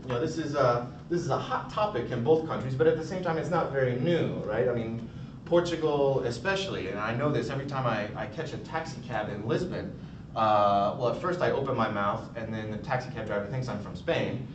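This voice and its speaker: A young American man giving a recorded academic conference talk in a casual, chatty way, full of fillers and restarts. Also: fairly quick. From United States. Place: college room. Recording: distant, echoey, dull, noisy rumble.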